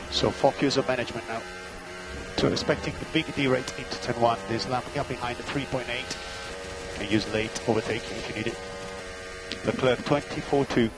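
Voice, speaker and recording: A man's voice: medium pitch, rough recording. A man working as a race engineer, giving clipped, matter-of-fact instructions and gap updates over team radio, often repeating key points.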